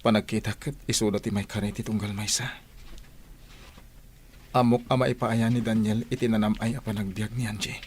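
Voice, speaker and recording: An actor with an Ilocano accent playing a sincere, devoted young suitor in a radio drama, with a heartfelt, measured delivery. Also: male voice, on the low side, flat delivery, a little mellow, lightly acted. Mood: resigned, sincere. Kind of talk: Filipino radio drama acting. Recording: faint background noise.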